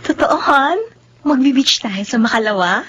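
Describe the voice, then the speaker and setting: High-voiced, coy young girlfriend. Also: lively intonation, moderate pace, a bit bright, slightly rough, highs cut off, soft background music, heavily acted. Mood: delighted, coy. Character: eager young girlfriend, coaxing her boyfriend. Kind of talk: Tagalog radio drama acting.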